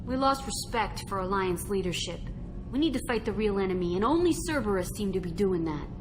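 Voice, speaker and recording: A woman voicing an earnest, loyal, gently teasing starship engineer in a video game cutscene. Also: medium pitch, lively intonation, fairly quick, music in the background, natural voice. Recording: noisy ship ambience behind.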